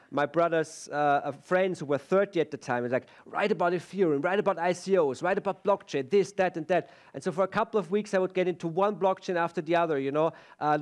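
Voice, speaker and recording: A middle-aged Austrian man with a slightly higher-pitched voice, speaking English with an Austrian German accent. He gives a chatty, self-mocking conference talk into a stage microphone, with lots of ums and restarts. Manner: chatty, self-deprecating, many fillers and restarts.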